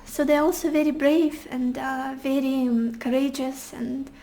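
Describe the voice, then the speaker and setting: Woman, high pitch, voice fading and hoarse; soft, reassuring tarot-reading delivery. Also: flat delivery, measured pace. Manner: gentle, reassuring, addresses the viewer directly. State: losing her voice, hoarse. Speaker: tarot reader. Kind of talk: casual pick-a-card tarot reading.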